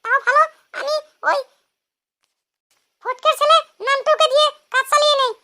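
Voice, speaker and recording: A performer as a shrill, scolding cartoon wife. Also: steady tone, normal speed, pushed and bright, full character voice. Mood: scolding, taunting. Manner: taunting, brash, scolding. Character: scolding, sharp-tongued village wife in a comic cartoon. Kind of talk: comic cartoon dialogue.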